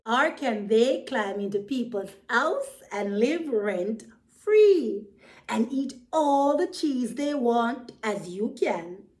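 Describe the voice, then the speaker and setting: Woman as storybook bird friend, high-pitched, slow, emphatic, frank and caring advice-giver. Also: lively intonation, darkish tone. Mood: encouraging. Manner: admonishing, emphatic, encouraging. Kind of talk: children's storybook character voice.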